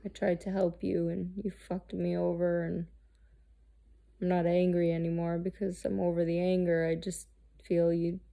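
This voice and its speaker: Gravelly, halting woman in her thirties. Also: fairly low pitch, level tone, dark tone. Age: 30s. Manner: halting, searching, with many restarts and fillers; frank, swears freely. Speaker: host. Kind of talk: candid personal monologue.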